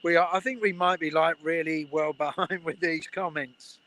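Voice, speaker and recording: Upbeat man hosting an outdoor stream, fairly high, bright and forceful tone. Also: steady tone, moderate pace. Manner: upbeat, laughs often, reads viewer comments. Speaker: host. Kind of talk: casual livestream chat. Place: outdoors at a railway station. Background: trains.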